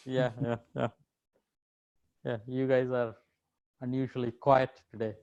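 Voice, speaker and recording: Man, lecturer, casual chat, video call, middle pitch, dull muffled audio. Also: little pitch movement, slow pace, mellow, clear, resonant tone. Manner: easygoing, chuckles often, repeats "yeah". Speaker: university lecturer. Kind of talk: casual chat with students before an online lecture.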